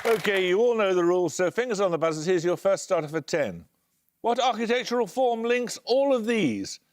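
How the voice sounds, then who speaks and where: A man hosting a television quiz show, reading introductions and questions in a measured, precise way. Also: sits high, very animated, some chatter behind. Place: television studio.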